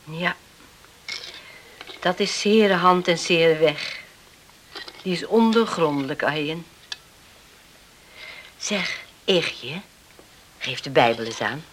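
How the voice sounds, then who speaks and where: A gentle middle-aged island mother in a radio play. She speaks very slowly in a fairly low voice, soothing and steady. There is faint noise on the old recording. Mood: quietly solemn. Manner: soothing, unhurried. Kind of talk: radio drama acting.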